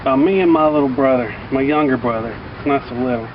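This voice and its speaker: A man's voice: medium pitch, fast talker. Male storyteller, colloquial and rambling, in a dull, distant recording swamped by heavy ambient noise. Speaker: vlogger telling personal stories. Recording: distant mic, muffled, loud outdoor traffic-like noise.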